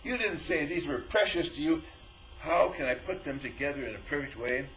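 A man's voice: medium pitch, a little hiss or hum, low-quality recording. A man giving an informal spiritual talk as a teacher, telling stories and acting out both sides of conversations with frequent chuckles. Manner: animated storyteller, acts out dialogue, chuckles often.

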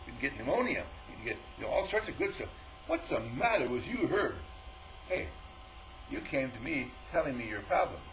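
Man, spiritual teacher, animated storyteller, mid-pitched voice, faint background hiss. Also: brisk, rough recording. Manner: animated storyteller, acts out dialogue, chuckles often. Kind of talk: informal spiritual talk.

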